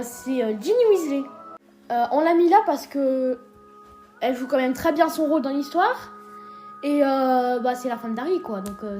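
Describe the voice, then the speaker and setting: High-voiced playful woman. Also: dark tone. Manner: playful, teasing, hesitant fillers. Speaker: co-host of a ranking video. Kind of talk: casual scripted chat.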